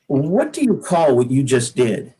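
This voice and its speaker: A man's voice: medium pitch, huge pitch swings, measured pace, mellow, slightly rough, low-quality recording. Rambling American man telling casual stories. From Pacific Northwest, United States. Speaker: singer-songwriter, podcast guest. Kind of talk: casual interview storytelling.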